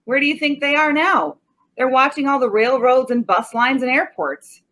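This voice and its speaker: A woman's voice: sits high, moderate pace, a bit bright. A woman playing a stubborn, sarcastic male homicide cop with slangy tough talk in 1940s radio style. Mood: skeptical.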